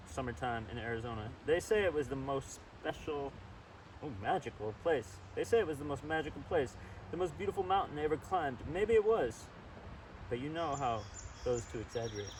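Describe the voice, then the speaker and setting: A man reads a storybook aloud in a chatty, informal way, drifting easily between the text and his own remarks. His pitch is ordinary for a man. He is outdoors and a little way from the microphone, with clear outdoor and traffic noise around him. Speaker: storybook reader. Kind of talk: informal read-aloud with personal asides. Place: outdoors by a river. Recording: distant outdoor mic with steady background noise.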